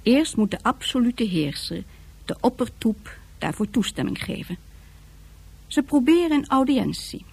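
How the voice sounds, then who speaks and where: A woman's voice: fairly high pitch, sing-song, measured pace, vocal fry, a little mellow, husky. A woman narrating a scripted science fiction radio drama.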